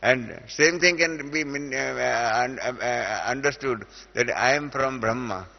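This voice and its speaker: A man's voice: mid-range voice, a little slow, gravelly. A middle-aged man with an Indian accent, teaching a spiritual class and explaining through everyday examples, with many hesitant "uh" fillers. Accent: Indian English. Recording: dull lo-fi audio with long reverb in a hall.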